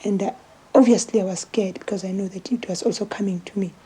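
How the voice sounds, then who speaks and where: A woman with a very husky, breathy voice and a South African accent gives spoken testimony. Her tone is soft and mellow at a middle pitch, and she halts and repeats words as she goes. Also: fairly even intonation, fast. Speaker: interviewee.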